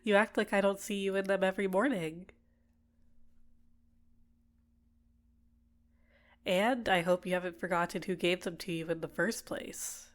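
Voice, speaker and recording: A woman playing a doting, possessive villain in an ASMR roleplay, speaking in a gentle, coaxing, soothing way. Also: medium pitch, steady tone, a little fast, natural voice. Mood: soothing, reassuring. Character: doting, possessive female villain caring for a captive. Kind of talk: ASMR roleplay, personal attention.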